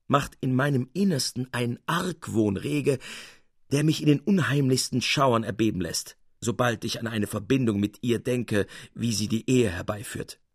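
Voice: medium pitch, normal speed, husky, dry recording, clean studio sound. A man reading the part of a worldly, sceptical friend in an eloquent, emphatic, confiding manner. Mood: brooding, emphatic. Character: worldly male painter friend, wry, brooding, sceptical of women.

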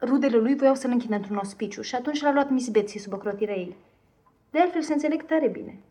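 A woman's voice: on the high side, dark tone, natural voice. A woman playing a blunt but kindly housemaid in a 1950s radio drama, brusque and chatty.

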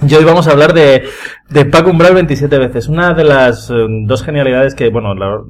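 Fast-talking man with a Spanish accent. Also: medium pitch. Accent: Spain. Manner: rambling, repeats himself. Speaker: podcast panelist. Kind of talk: casual chat.

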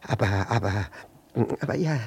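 An actor playing a flustered, over-polite jealous husband in a 1960s German radio play, stammering, repeating words and breaking off mid-sentence. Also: male voice, on the low side, expressive, normal speed, vocal fry, a little mellow, breathy edge, exaggerated voice. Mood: agitated denial.

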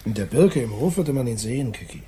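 A frazzled, absent-minded middle-aged father in a radio play. The voice is rough and husky and very mobile in pitch, with an exasperated edge. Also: lively intonation, brisk, dark tone. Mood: regretful, subdued. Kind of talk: German radio play acting.

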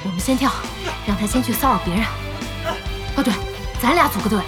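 A woman playing an excitable, blunt young gamer and devoted fan in an audio drama. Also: a little high, a little fast, close to an everyday voice. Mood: keen, fired up. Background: music.

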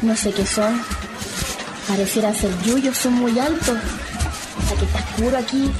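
Una mujer actuando de pobladora chilena inquieta, voz aguda. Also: female voice, a little high, moderate pace, music in the background, lightly acted, low-quality recording. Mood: uneasy. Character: uneasy woman trudging through dark overgrown fields. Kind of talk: radio drama acting.

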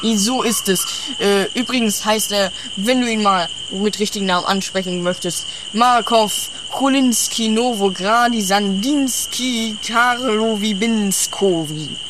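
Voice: mid-range voice, unhurried, few pauses, lightly acted. An actor playing a curt, exasperated companion on the run in a comedy radio play, speaking in a dry, terse way. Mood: brisk, curt. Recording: clear outdoor night noise, insects and owls.

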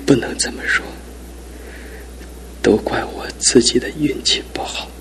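A man's voice: measured pace, fairly bright tone, whispered, lightly acted. An actor playing a young man injured in a fall, weak and despairing, in a radio drama with music behind. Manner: swings between faint murmurs and anguished cries.

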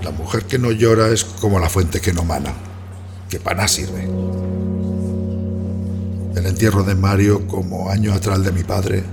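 A man's voice: low-pitched, fairly even intonation, normal speed, gravelly, long pauses. An actor with a Spanish accent playing a bitter, solemn peasant who narrates his life confessionally in a radio drama. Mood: grave, bitter. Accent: Spanish, from Spain. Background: music.

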